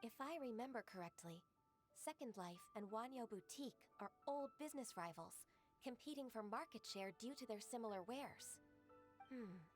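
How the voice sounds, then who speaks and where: Composed young secretary, voiced by a woman, polite, gentle, assured, slightly high voice, game dialogue. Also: animated, moderate pace, soft background music, close to an everyday voice. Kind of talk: video game voice acting.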